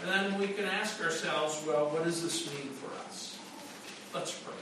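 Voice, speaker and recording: A man's voice: on the high side, a little slow, noisy background. A man preaching a sermon as pastor, conversational and full of restarts and fillers, in a church. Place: church sanctuary. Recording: echoey church from a distance.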